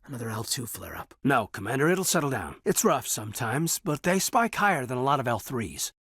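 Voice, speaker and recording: An earnest soldier in a space game, kinda husky, very dry close recording, sincere and restrained. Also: male voice, medium pitch, sing-song, a little fast, fairly bright tone, no echo. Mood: earnest, matter-of-fact. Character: earnest, loyal military officer in a space saga, adult man. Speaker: voice actor in a video game. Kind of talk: naturalistic dramatic game dialogue.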